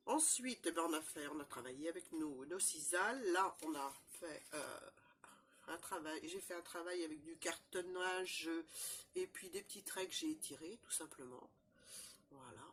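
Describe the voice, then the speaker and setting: A woman with a cold, chatting casually through a crafting demonstration, rambling and filling pauses often. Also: deep voice, fairly bright tone, a little hiss or hum. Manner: chatty, rambling, constant fillers and "voilà". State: has a cold, stuffy. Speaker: crafting channel host. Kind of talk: casual show-and-tell crafting demo.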